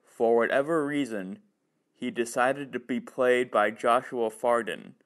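A man hosting a podcast, reading a scripted review. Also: mid-range voice. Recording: music behind the voice.

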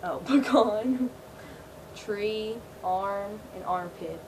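A teenage girl vlogging from her bedroom, answering questions in a laid-back, filler-heavy way and trailing off often. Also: drawn-out words. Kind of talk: casual Q&A chat. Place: small bedroom, indoors. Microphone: built-in webcam mic. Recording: quiet, low-volume webcam audio with loud hiss.

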